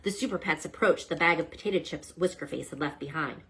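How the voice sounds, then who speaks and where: A woman reading a children's storybook aloud as narrator, with an expressive storytelling manner. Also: low voice, fast talker, slightly rough, low-quality recording. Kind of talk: children's book read-aloud.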